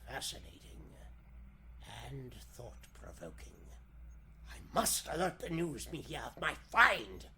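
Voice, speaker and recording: A man voicing an elderly, scholarly astronomy professor in a 1940s radio drama, deliberate and secretive, speaking in halting, pondering phrases. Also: mid-range voice, huge pitch swings, bright, forceful tone, slight background noise, voice pushed down, full character voice. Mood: musing.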